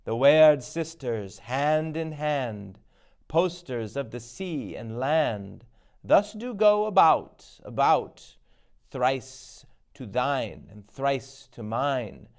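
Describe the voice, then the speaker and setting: A man's voice: mid-range voice, lively intonation, very slow. A man voicing spiteful old bearded witches in a dramatic Shakespeare reading, chanting prophecies in rhyme with sudden cries. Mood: eager chanting.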